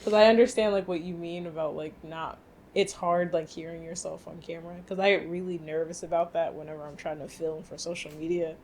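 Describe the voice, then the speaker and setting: A woman's voice: lowish voice, fairly even intonation, fairly quick, a little mellow, slight background noise. A woman co-hosting a podcast, chatting casually with lots of fillers and frequent laughter. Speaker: podcast co-host. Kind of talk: casual chat.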